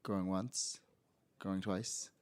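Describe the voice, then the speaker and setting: Man, low smooth voice, dry close sound, chairing a council hearing, halting with fillers. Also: fairly even intonation, a little mellow, no echo. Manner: halting, many filler words. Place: city council hearing room.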